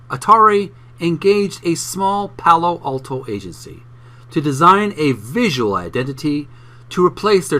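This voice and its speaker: Male channel host, mid-pitched and animated, emphatic read-aloud narration with the odd stumble. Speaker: host reading a book aloud. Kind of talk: book narration for a video channel.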